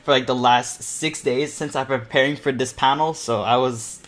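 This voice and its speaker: A young man in his teens talks casually on a livestream as its host. His voice sits at an average male pitch. He comes across as nervous and excitable, wandering from one thought to the next. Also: moderate pace. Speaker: streamer and painting panel host. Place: indoors at a computer, live stream.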